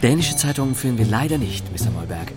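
A man's voice: medium pitch, lively intonation, fast, loud music behind the voice, natural voice. A man as a polite hotel receptionist. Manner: formal, hesitant. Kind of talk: audio drama.

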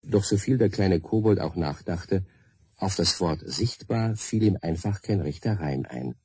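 A man with a husky voice narrating a children's radio play in a playful, wry storytelling manner. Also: deep voice, unhurried, darkish tone, dry recording, exaggerated voice. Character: wry male storybook narrator, friendly, unhurried.

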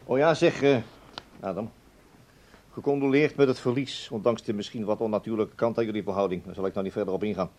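A man playing a brisk, authoritative boss in a radio drama, offhand and tactless. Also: fairly low pitch, a little mellow, natural voice. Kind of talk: Dutch radio drama acting. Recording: slightly dull old radio recording.

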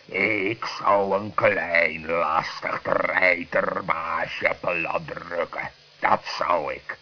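A man's voice: low voice, steady tone, drawn-out words, full character voice. A man playing a huge, simple, obedient giant in a children's radio play, speaking in a blunt, ponderous way. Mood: firm, earnest. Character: huge slow-witted giant, gruff but obedient. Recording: muffled old radio recording.

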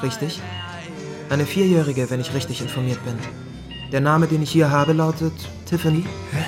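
Higher-pitched man, actor as young mob lawyer, formal, deliberate, quietly menacing, crime radio drama, clear music bed. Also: steady tone, close to an everyday voice. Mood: coolly menacing. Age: young adult. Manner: formal, deliberate, veiled threat. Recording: loud music behind.

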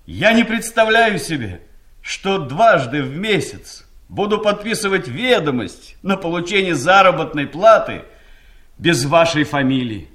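An actor playing a pompous chief accountant giving solemn, declamatory tributes in a satirical radio play. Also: male voice, on the high side, sing-song, unhurried, a bit bright. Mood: solemn lament.

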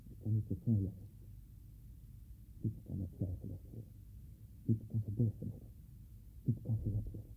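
An actor playing a tormented, paranoid man in a 1960s Dutch radio drama, husky, speaking in obsessive, repeated short phrases. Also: lowish voice, warm tone, a little hiss or hum, low-quality recording. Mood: bleak muttering. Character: Len, tormented, paranoid man sinking into dwarf-haunted delusions.

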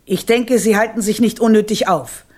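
A woman's voice: mid-range voice, normal speed, darkish tone, natural voice. An actress playing an imperious old witch grandmother in a radio play, haughty, biting and sardonic. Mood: haughty menace. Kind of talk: German radio play acting.

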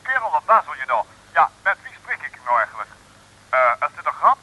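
Scoffing jovial official on the phone, very high husky voice, swooping in disbelief, old radio comedy. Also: male voice, big pitch swings, a little fast, pushed and bright, full character voice, rough recording. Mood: puzzled. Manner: incredulous, exclaiming, chatty. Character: jovial, scoffing male government official on the telephone. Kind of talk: 1960s radio comedy serial acting.

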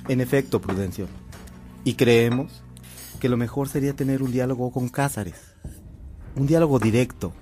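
An actor playing a reasonable, resolute lawyer who speaks emphatically in a radio drama. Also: male voice, medium pitch, moderate pace, long pauses, warm tone, faint music behind, natural voice. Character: reasonable, resolute male lawyer advising friends.

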